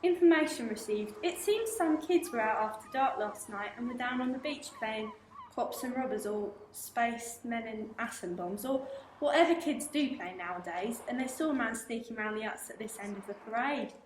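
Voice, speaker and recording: A woman's voice: on the high side, expressive, close to an everyday voice. A young actress playing a polite, dry-witted policewoman inspector in a school radio play. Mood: polite official. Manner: polite, measured and official. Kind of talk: amateur radio play acting. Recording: roomy room echo, faint hiss.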